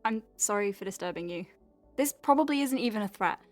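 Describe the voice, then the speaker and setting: An actress playing an earnest, awkward young clinic doctor in an audio drama, speaking hesitantly and naturally with self-corrections. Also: female voice, mid-range voice, lively intonation, brisk, faint music behind, close to an everyday voice. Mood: awkward, apologetic. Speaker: doctor dictating patient letters.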